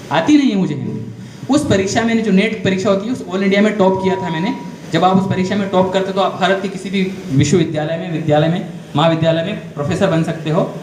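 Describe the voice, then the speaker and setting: A man's voice: high voice, darkish tone. A man giving a motivational career guidance talk to school students, often asking the class questions. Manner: addresses the audience with frequent direct questions; storytelling, explains step by step. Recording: echoey room, faint background noise.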